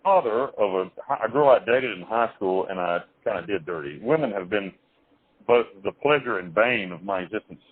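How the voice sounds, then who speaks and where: Man, phone interview guest, rambling, halting restarts, blunt, tinny phone-line sound. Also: on the low side, lively intonation, breathy edge. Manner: blunt, occasionally crude. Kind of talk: phone interview, recounting his life.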